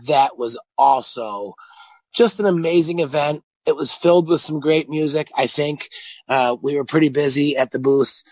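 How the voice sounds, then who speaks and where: A man with a raspy, hoarse voice co-hosting a podcast in casual chat, rambling enthusiastically with plenty of fillers. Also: on the high side, moderate pace, darkish tone, no echo, poor audio. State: hoarse after a busy weekend. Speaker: podcast co-host.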